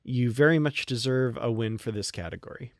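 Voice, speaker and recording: A man's voice: medium pitch, fairly quick, no room sound, studio quality. A man hosting a fan podcast, talking through entertainment news in a casual, conversational way. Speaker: podcast host.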